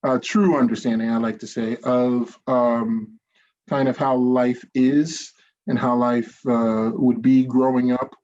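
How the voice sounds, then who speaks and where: An American man hosts a casual interview, feeling his way through earnest, rambling questions. His voice is mid-pitched and comes through slightly dull and lo-fi. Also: little pitch movement, takes it slowly, a little mellow, slight background noise. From United States. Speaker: podcast host.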